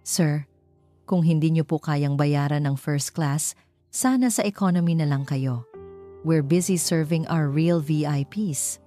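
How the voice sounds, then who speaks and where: A woman's voice: sits low, measured pace, dark tone, faint music behind. A woman voicing a curt, sarcastic female flight attendant with a Filipino accent in a narrated story. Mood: condescending. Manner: cold, condescending.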